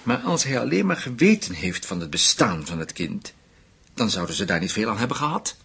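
An actor playing a shrewd German intelligence official in a radio drama, speaking formally and deliberately. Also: male voice, lowish voice, sing-song, gravelly, fairly bright tone, slightly rough, heavily acted. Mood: firm, cautioning.

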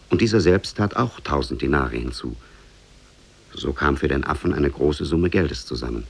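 A man narrating an Oriental fairy tale in a measured way, as in a 1950s German radio play. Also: very deep voice, creaky, breathy edge. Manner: measured, storytelling.

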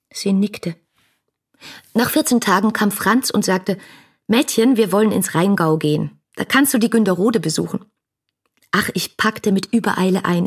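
Husky, fervent young romantic woman. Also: mid-range voice. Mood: agitated, vivid, then urgent narration. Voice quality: husky, breathy. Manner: fervent, intimate, literary storytelling. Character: passionate young romantic woman writer reading her letters. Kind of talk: dramatic radio play monologue.

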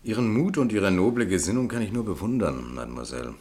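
A man's voice: lowish voice, expressive, a little mellow. An actor playing an eloquent, shrewd and courteous male defence lawyer in a German radio play, rhetorical and emphatic. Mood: measured, pensive. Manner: rhetorical, emphatic in court, dry and measured in private.

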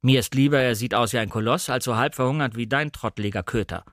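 A man's voice: medium pitch, fast talker, a bit bright, dry recording, studio quality, natural voice. A man voicing an irritable, sardonic upper-class husband in a dramatic novel reading. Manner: curt, sardonic.

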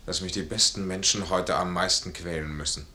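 An actor playing a proud, honourable, impoverished major in a classical radio play, stern and stubborn, with formal, emphatic diction. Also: male voice, deep voice, fairly even intonation, brisk, pushed and bright. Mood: pained pride. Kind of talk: German classical stage comedy as radio play.

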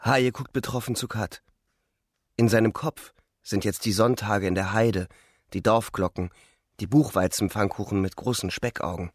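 An actor playing a young soldier who narrates a war radio play in terse, measured, reflective short sentences. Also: male voice, on the low side, steady tone, no echo, clean studio sound, natural voice. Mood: tense, observant. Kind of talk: literary radio play narration, first person present tense. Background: music.

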